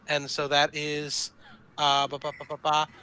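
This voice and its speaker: A player in a tabletop roleplaying game, chatting informally and thinking aloud in a halting way while describing moves. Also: mid-range voice, sharp tone, clean tone, rough recording. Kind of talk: casual game chat.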